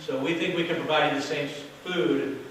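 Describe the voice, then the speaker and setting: A man giving a loud, casual workshop talk to an audience as a hotel sales director, with no microphone. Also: a little high, steady tone, moderate pace. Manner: casual, chatty, with fillers. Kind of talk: workshop presentation to a live audience. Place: meeting room with an audience. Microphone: distant camera, no microphone. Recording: very echoey room from far off with noise.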